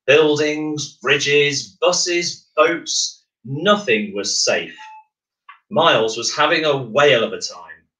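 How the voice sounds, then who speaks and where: A man reads a children's story aloud. His delivery is slow and measured, with highly animated pitch. The recording has a clear room echo around his expressive narration. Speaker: bedtime story reader. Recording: roomy, echoing room.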